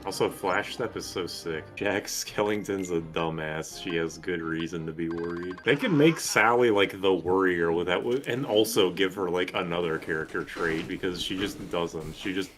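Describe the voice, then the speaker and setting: A man's voice: low-pitched, normal speed, few pauses. A man chatting casually as he co-commentates a video game, joking and swearing freely. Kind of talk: casual gaming commentary chat. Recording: video game music behind.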